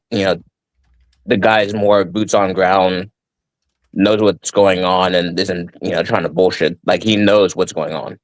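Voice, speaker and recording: A man's voice: lowish voice, no echo, poor audio. A man from Washington, D.C. with a slight Boston accent, calling in to a show and speaking bluntly with fillers and some swearing. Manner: blunt and profane, hesitant with many fillers. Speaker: caller. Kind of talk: casual call-in chat.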